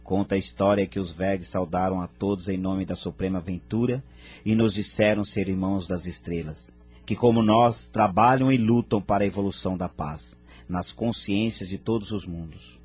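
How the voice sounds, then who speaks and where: A man playing a wise, calm spirit guide in an audio drama, husky and measured as he recounts history. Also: deep voice, soft background music. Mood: grave, calm. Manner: measured, grave.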